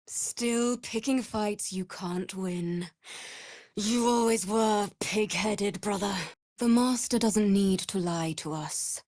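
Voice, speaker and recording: A woman's voice: mid-range voice, a little mellow, dry recording, studio quality. A woman playing a grave, weary vampire servant in a fantasy game, solemn and dramatic in delivery. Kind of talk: scripted fantasy game acting.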